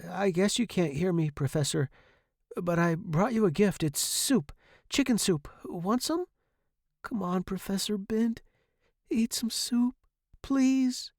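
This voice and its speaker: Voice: slow pace, darkish tone, no room sound, studio quality. A storyteller voicing a devoted young male music student, earnest, emotional and imploring. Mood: pleading. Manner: earnest, imploring, high and distraught.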